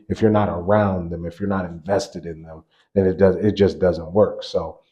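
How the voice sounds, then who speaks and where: Man, 40s, fairly low pitch, basketball coach, casual storytelling, laughs often, lots of restarts. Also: warm tone. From grew up on a US army base in Germany. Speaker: college basketball assistant coach, guest.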